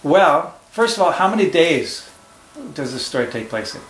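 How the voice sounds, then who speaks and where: A man's voice: on the high side, big pitch swings, moderate pace, a bit bright, reverberant, slight background noise, poor audio. A man teaching at home, explaining a storybook lesson to a child patiently and step by step. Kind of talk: casual classroom discussion.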